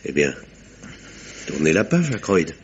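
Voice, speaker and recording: A man's voice: extremely low pitch, huge pitch swings, a little slow, slightly rough, lo-fi sound, exaggerated voice. A man acting a grave, formal village doctor who insists and narrates in a theatrical 1950s French radio mystery style. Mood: concerned, insistent. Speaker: actor and first-person narrator. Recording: noisy vintage radio recording.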